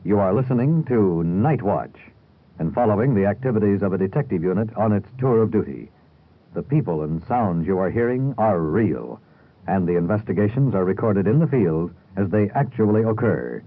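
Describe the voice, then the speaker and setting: A man delivers a scripted opening in a steady, formal announcer's cadence. His voice sits fairly low. It comes through the narrow, tinny sound of an old radio broadcast. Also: moderate pace.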